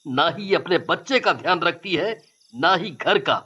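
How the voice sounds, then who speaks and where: A man's voice: high voice, animated, measured pace, bright, forceful tone, slightly rough, highs cut off, heavily acted. An actor playing an exasperated young husband and devoted father in a story, reproachful and earnest.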